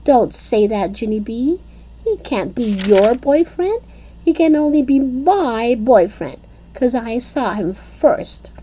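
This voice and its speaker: A woman's voice: a little high, expressive, takes it slowly, mellow, slight background noise, pitched above the performer's own voice, low-quality recording. A woman voicing a spoiled, bossy little schoolgirl who hollers and whines. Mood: possessive. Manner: whiny, hollering, demanding.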